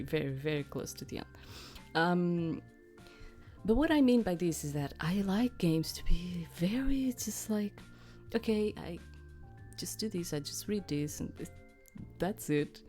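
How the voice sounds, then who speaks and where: A woman's voice: on the low side, darkish tone. A middle-aged woman hosting a gaming channel, chatting casually and rambling, with hesitant explanations to her viewers.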